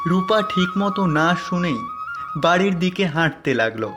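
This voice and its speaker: A man's voice: high-pitched, lively intonation, normal speed, music in the background. A man narrating a scripted cartoon fairy tale as its storyteller.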